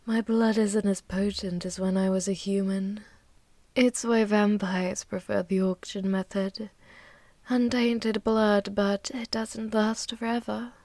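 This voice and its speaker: Woman acting a slow, whispery, seductive vampire mistress. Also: medium pitch, steady tone, no room sound. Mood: tender, teasing. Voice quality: soft, breathy, often dropping to whispers. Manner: slow, teasing, haughty, commanding. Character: ancient, possessive, ruthless yet seductive female vampire mistress. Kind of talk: intimate ASMR audio roleplay, monologue to listener. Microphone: close microphone.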